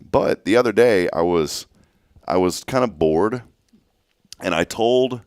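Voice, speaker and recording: A man hosting a morning radio show in casual chat, sounding groggy and rough, rambling and restarting his sentences. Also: fairly low pitch, expressive, takes it slowly, a little mellow, dry recording. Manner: rambling, self-interrupting, chuckling.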